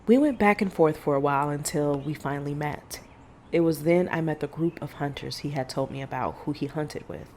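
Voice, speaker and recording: A woman performs a middle-aged monster hunter in an audio drama. Her voice sits low as she recounts horrors in a grave, measured way. Faint noise runs under the recording. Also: a little mellow, natural voice. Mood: reflective.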